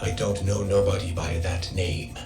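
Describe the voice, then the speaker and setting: A man voicing a gruff wiped robot. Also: sits low, moderate pace, lightly acted. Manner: flat, tough-talking. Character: gruff wiped male work robot, surly. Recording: game audio over music.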